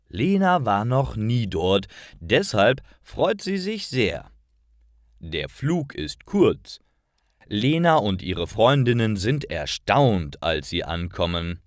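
A man reading scripted stories for language learners with careful, deliberate enunciation. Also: fairly low pitch, no echo, studio quality. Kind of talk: scripted story narration for learners.

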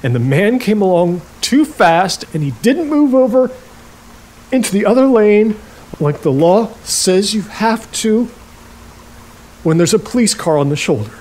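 An actor playing a stubborn, halting older man, a reclusive rental host, in an audio drama. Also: sits high, very animated, a little mellow, exaggerated voice. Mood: bitter, grieving. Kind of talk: naturalistic audio drama acting.

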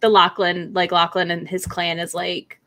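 A woman's voice: on the low side, little pitch movement, normal speed, pushed and bright. A young adult woman in casual, informal book chat, speaking as a panelist on a video call. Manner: informal, profane. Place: indoor home room on a video call.

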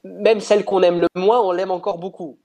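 A young man, not a native speaker, answers questions from his room over a headset on a video call. His voice sits a little high, and he talks thoughtfully, often restarting his phrases. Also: level tone, normal speed, mellow. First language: not French. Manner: thoughtful, hesitant, frequent restarts. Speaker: pianist interviewed. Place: indoor room at home.

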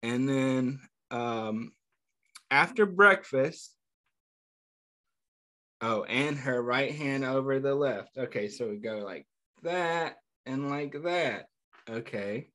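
A man reading a picture book aloud to children, pausing to ask them gentle questions between passages. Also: mid-range voice, animated. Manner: slow, pausing reading with small slips. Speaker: children's storyteller. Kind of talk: read-aloud storytime.

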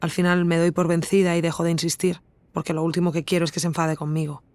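An actress playing an introspective podcast investigator with an accent from Spain, narrating in a measured, reflective first person for an audio drama. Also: female voice, fairly low pitch, little pitch movement, darkish tone, no room sound, natural voice. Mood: concerned, matter-of-fact.

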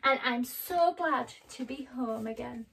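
An earnest young girl speaks in a raised, bright voice, as a woman storyteller plays her. She sounds a little distant from the microphone. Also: fairly high pitch, measured pace, vocal fry, breathy edge, full character voice.

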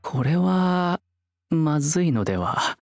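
A polite young man in a comedy anime. He is kindly and reactive, with very animated, dramatic delivery that easily turns flustered. Music runs behind him. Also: on the high side, takes it slowly, exaggerated voice. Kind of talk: Japanese voice-anime comedy acting.

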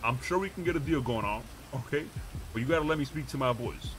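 A man's voice: mid-range voice, fast talker, a little hiss or hum, low-quality recording. A man roleplaying a pushy, streetwise, profane character in a game, talking in slang. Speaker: roleplay gamer streaming.

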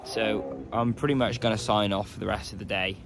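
Young male vlogger by a lake, deeper voice, laid-back and rambling, phone mic at arm's length. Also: steady tone, brisk, creaky, noisy background. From England. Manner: low-key, resigned, chatty with many "um"s. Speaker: carp fishing vlogger. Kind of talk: casual vlog to camera. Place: outdoors by a lake. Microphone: phone camera held at arm's length.

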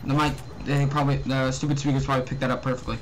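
A young man giving casual, excitable gameplay commentary, often muttering to himself and exclaiming as he plays. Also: mid-range voice, racing, slight background noise. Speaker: let's play gamer. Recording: loud game music and gunfire behind. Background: loud video game music and gunfire.

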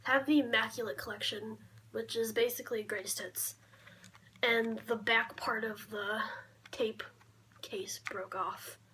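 Young woman, fairly high voice, casual vlog chat, low-key, trailing off, noisy bedroom recording. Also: fairly even intonation, a little slow, sharp tone. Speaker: vlogger showing a collection. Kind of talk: casual show-and-tell vlog. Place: small cluttered bedroom, indoors. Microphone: camera mic at arm's length. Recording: clear background noise, handling clicks.

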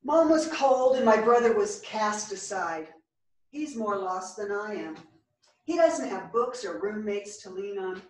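A woman's voice: medium pitch, animated, unhurried, a little mellow, natural voice. A woman playing a frank, bookish, wounded character in a staged play reading, recorded from far off in a theatre. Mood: confessional, pained. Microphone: far camera. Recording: echoey theatre, far from the microphone.

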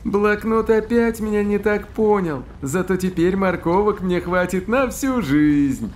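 High-pitched, eager little cartoon cook. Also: male voice, lively intonation, unhurried, slight background noise. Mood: determined, puzzled. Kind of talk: animated children's cartoon dialogue.

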